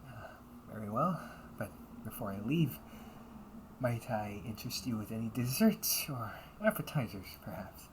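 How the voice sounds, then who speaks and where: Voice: medium pitch, lively intonation, normal speed, pauses often, natural voice, poor audio. Flustered delinquent butler-cafe waiter over background noise, acted out. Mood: courteous, restrained. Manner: stiffly formal service politeness, slipping into muttered threats. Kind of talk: amateur audio roleplay.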